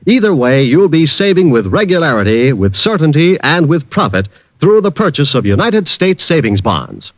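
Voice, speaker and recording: A man's voice: fairly high pitch, very animated, normal speed, no echo. A man announcing on a 1940s radio program with polished, formal delivery. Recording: dull old radio broadcast with music.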